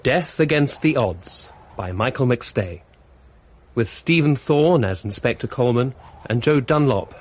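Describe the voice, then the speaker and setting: A man reading out the title and cast of a radio drama in a formal, measured way. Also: medium pitch. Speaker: announcer.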